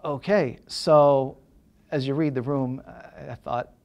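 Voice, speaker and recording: A middle-aged man telling a story in a measured way during a seated interview. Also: medium pitch, steady tone, gravelly, darkish tone. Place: indoor press room, seated interview. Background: music.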